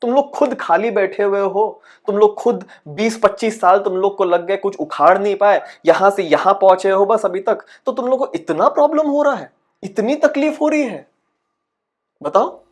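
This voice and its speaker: A man's voice: high-pitched, fairly even intonation, moderate pace, few pauses, lightly acted. A man playing a frustrated, paranoid aspiring actor who rants insistently in a dramatic monologue. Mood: angry, exasperated. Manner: exasperated, ranting, insistent, with rhetorical questions. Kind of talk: dramatic comic audition monologue in Hindi-English mix.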